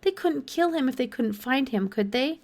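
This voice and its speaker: An earnest young boy from a children's story , acted by a woman narrator. The voice is high, anxious and pleading, yet holds on to hope. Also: animated, moderate pace, darkish tone. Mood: hopeful, urgent. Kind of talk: children's audiobook character voice.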